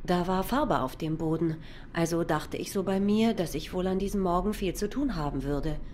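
An actress playing an obliging, down-to-earth young cat waitress in a noir video game, plain-spoken and a little hesitant. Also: female voice, on the low side, normal speed, a little mellow, a little hiss or hum, close to an everyday voice. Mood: subdued, shaken.

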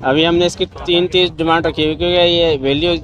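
A man's voice: on the high side, fairly even intonation, brisk, fairly bright tone, poor audio. Middle-aged man selling his car. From Pakistan. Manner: short plain answers. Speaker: car seller. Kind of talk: answering interview questions. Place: outdoor car market. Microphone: interviewer's handheld mic.

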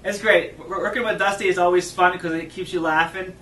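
A man in his thirties with a fairly high voice hosts a cooking show in an upbeat, agreeable way. He is picked up from a distance in an echoey room. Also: steady tone, a little fast, pushed and bright, a little hiss or hum. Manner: upbeat, agreeable, laughs often. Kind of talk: comedic cooking show patter.

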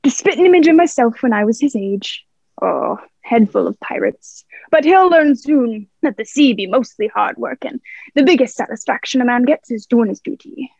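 A young woman playing a genial, flattering old one-legged sea cook in a radio play, with folksy, deferential sailor talk. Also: a little high, moderate pace, gravelly, husky, no echo, lo-fi sound, full character voice. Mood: hearty, smooth.

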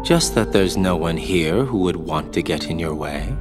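An actor voicing a scheming young man who talks in a smooth, sly, teasing way. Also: sits low, lively intonation, normal speed. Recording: game cutscene audio with music.

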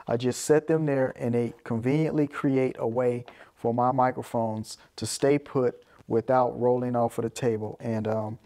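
A man's voice: medium pitch, fairly even intonation, normal speed, dark tone. Man casually explaining audio gear. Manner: explanatory, often ends points with "right?". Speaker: presenter of an audio gear video. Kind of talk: casual hands-on gear walkthrough. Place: small carpeted room indoors.

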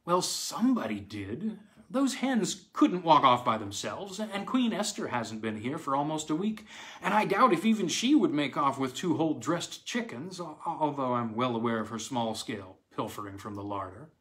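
Man doing a young stepmom, a bit higher, brisk, fed up and worried. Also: big pitch swings, fairly bright tone. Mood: exasperated.

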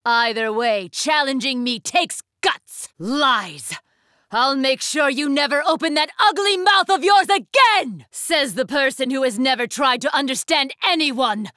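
A woman's voice: high voice, unhurried, bright, forceful tone, no room sound, studio quality. An imperious, fierce young warrior woman. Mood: fierce, defiant. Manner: commanding, haughty, formal. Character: imperious young female warrior student leader, proud, fierce. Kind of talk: anime fighting game acting.

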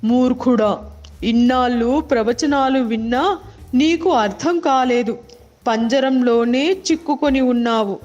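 A woman voicing a stern, preachy elephant guru in a children's animated story. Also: high-pitched, highs cut off.

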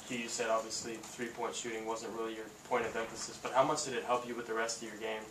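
A man's voice: fairly low pitch, flat delivery, fast talker, fairly bright tone. A man working as a reporter, asking a question at a press conference. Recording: distant, echoey, noisy room.

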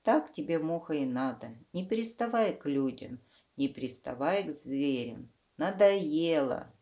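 A woman's voice: low-pitched, animated, a little slow, warm tone. A woman voicing an exasperated man in a children's fairy tale, pleading and fed up. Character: exasperated man pestered by a fly.

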